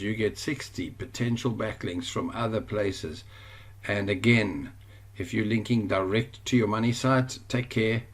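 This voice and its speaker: A man's voice: on the low side, slightly rough. A man with a South African accent teaching an online course over a screen share, rambling and often correcting himself. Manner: rambling, self-correcting, chatty.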